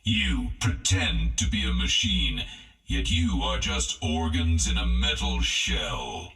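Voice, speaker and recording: A man voicing a cold, disdainful inhuman villain in a video game, with a rasping, gravelly voice. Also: a bass voice, huge pitch swings, takes it slowly, fairly bright tone, soft background music, full character voice. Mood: cold contempt. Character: contemptuous killer robot and menacing alien parasite, inhuman male villains. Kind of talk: video game character acting.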